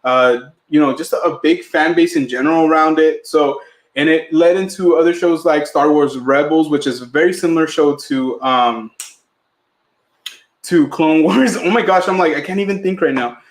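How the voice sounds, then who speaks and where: A man's voice: fairly high pitch, moderate pace, echoey room. A man co-hosting a live podcast, talking in a chatty way with lots of fillers and restarts; his family is Mexican and his first language was Spanish. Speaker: podcast co-host.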